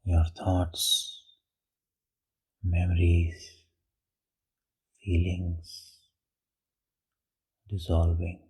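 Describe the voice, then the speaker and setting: A man leading a guided meditation in a hushed, breathy voice, with short phrases and long pauses. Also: sits low, completely flat delivery, rough recording.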